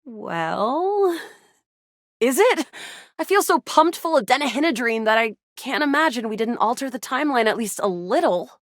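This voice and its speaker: A feisty young sci-fi adventurer from a video game. Her voice sits high and swings widely with excitable, playful drama. The sound is dry and close, with no room around it. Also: moderate pace, no echo. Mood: excited, earnest. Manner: animated, dramatic, playful banter. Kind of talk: video game character voice acting.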